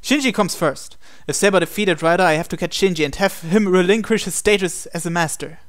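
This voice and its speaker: A man reads visual novel narration aloud as a gaming host. His voice sits a little high for a man and is very clean and smooth. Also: a little fast.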